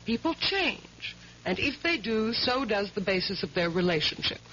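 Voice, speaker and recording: A bright, lively American wife, pointed and teasing in an old radio play. Her lines swoop in pitch, on a dull recording with some hiss. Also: moderate pace. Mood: insistent. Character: brisk, playful, insistent wife of a lawyer. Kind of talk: 1970s radio drama acting.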